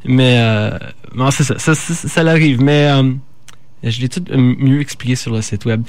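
A man with a Canadian French accent hosting a radio show, talking informally and haltingly as he stumbles over words and corrects himself. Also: medium pitch, a little mellow. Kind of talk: informal live radio talk.

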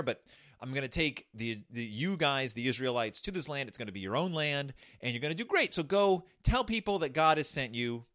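A man voicing an exasperated, offhand God who gives brisk, bossy commands. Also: medium pitch, fairly bright tone. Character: exasperated casual male God, bossy and impatient.

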